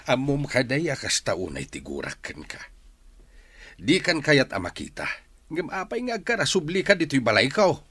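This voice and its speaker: Guy playing a husky ilocano dad in a radio drama, middle-aged, grieving and resentful, pitch swings a lot. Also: wildly swooping pitch, moderate pace, a bit bright, no room sound, heavily acted. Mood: bitter, accusing. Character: grieving, resentful father of a missing son.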